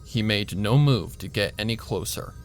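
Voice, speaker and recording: A man reading a story aloud as narrator, in a measured storytelling manner. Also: fairly low pitch, faint music behind. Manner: measured storytelling, voicing a flat doctor in quotes. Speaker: narrator and reader. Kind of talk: audiobook narration of fan fiction.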